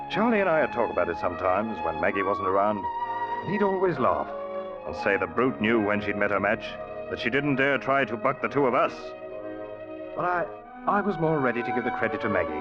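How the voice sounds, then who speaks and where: An actor playing an eager young third mate who also tells his sea tale, in an old-time radio drama style. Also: lowish voice. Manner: expressive, dramatic storytelling. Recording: muffled old radio recording, loud music.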